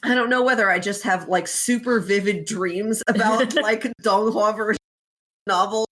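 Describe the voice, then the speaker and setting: A woman's voice: mid-range voice, steady tone, normal speed, a bit bright. Woman, podcast host, informal, sweary, giggly, casual retelling and commentary.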